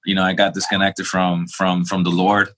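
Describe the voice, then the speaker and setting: A man's voice: low-pitched, level tone, normal speed, fairly bright tone. A young adult man from Queens, New York, a pastor telling his testimony in a casual interview, talking conversationally with fillers and restarts. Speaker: pastor, podcast guest.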